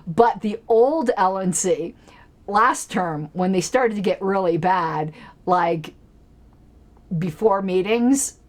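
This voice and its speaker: A woman's voice: medium pitch, slow. Chatty rambling woman streamer. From Colorado. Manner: rambling, chatty, with frequent fillers and restarts. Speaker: political commentary streamer. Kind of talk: casual solo livestream chat. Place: home basement.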